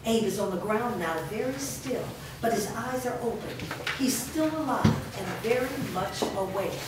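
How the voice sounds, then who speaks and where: A woman's voice: fairly high pitch, moderate pace. A woman reading stage directions aloud as scripted dramatic narration. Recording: echoey room, distant mic, faint noise.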